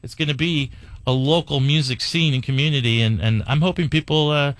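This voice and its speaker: A man's voice: a little high, a little fast, no room sound. A man hosting a radio show in a casual, chatty style.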